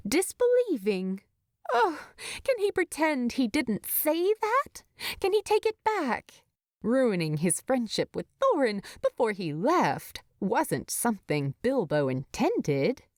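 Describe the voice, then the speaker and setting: A woman reading a story as an audiobook narrator, expressive and dramatic, close to the microphone. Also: on the high side, slow, no echo. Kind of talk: expressive scripted fiction narration.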